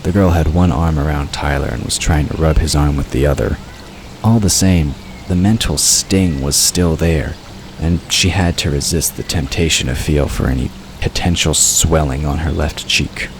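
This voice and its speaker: A man's voice: very deep voice, moderate pace, slight background noise. A man reading scripted fiction narration as an audiobook narrator, in a storytelling style. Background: outdoor ambience and water sound effects.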